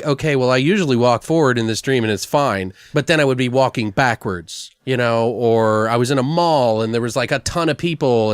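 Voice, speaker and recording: A man's voice: mid-range voice, clear, resonant tone, no room sound, studio quality. A man hosting a podcast in casual chat, telling rambling stories and swearing freely. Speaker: podcast host.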